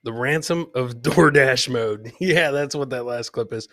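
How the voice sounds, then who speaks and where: A man's voice: medium pitch, a little slow, fairly bright tone, no echo, clean studio sound. A man hosting a live comedy podcast, casually commenting on clips and chatting with viewers in crude, joking slang, often repeating phrases for emphasis.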